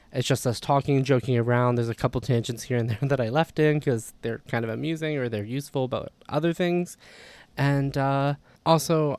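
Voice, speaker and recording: Man, podcast host, casual, rambling, mid pitch, dry. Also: clear, resonant tone, no echo. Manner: relaxed, rambling with fillers.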